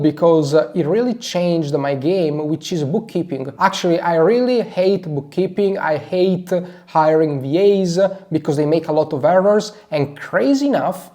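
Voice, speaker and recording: A man from Italy with an Italian accent, a non-native English speaker giving an energetic, emphatic screen-share tutorial and leaning on "actually" a lot. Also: a little high. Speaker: marketing advisor.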